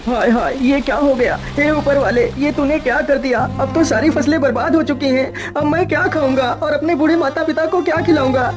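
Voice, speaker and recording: Woman as earnest, high-voiced young village farmer. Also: fairly quick, few pauses, music in the background, lightly acted. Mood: despairing. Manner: pleading, earnest. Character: hardworking, anxious young village farmer, dutiful son.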